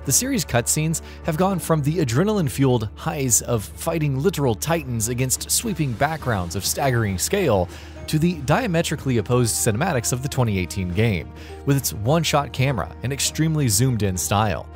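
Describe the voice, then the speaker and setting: A man's voice: medium pitch, sing-song, a little fast, a little mellow, soft background music. A man reading a scripted video narration as the narrator.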